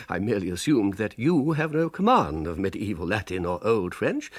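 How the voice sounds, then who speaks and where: An actor playing an ailing, imperious and dry-witted old medieval pope in a radio drama, halting and stately. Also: male voice, fairly low pitch, sing-song. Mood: reflective. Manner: halting, stately, wryly fastidious. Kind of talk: radio drama acting and first-person narration.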